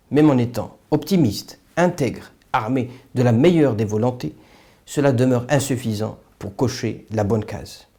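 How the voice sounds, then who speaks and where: A man's voice: mid-range voice, mellow. A man delivering a scripted political opinion monologue in a formal, literary, rhetorical manner. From Morocco. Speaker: political commentator, video host.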